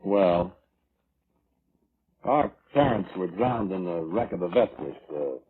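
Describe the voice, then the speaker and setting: An actor playing a defensive, weaselly brother testifying at an inquest, halting and evasive, in old radio drama style. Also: male voice, low voice, animated. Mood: uneasy. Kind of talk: 1940s radio drama acting. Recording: tinny, noisy old radio recording.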